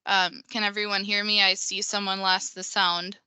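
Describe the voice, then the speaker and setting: A woman's voice: medium pitch, little pitch movement, normal speed, pushed and bright, clear, resonant tone, no room sound. A woman in her thirties with a Minnesota accent, presenting over a video call in a conversational way, with many ums and restarts. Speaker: pavement engineer presenter. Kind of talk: webinar presentation.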